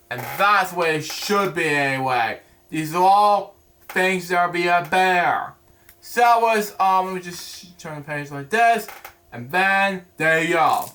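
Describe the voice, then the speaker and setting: A young man hosting a casual bedtime read-aloud, chatting in a halting, rambling way and often repeating his phrases. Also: a little high, pushed and bright. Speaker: host of a read-aloud bedtime story series.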